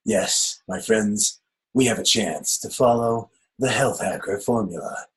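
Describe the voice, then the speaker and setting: A man doing a booming movie-trailer narrator. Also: a little slow, breathy edge, low-quality recording. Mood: dramatic.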